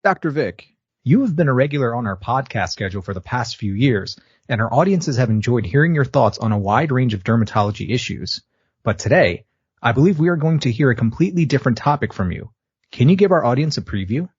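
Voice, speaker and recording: A man hosting a podcast, reading an ad script and introducing his guest in a smooth, announcer-like way. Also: mid-range voice, animated, fairly quick, darkish tone, no echo, dull audio. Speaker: podcast host. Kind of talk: scripted ad read and interview questions. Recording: music bed under the voice.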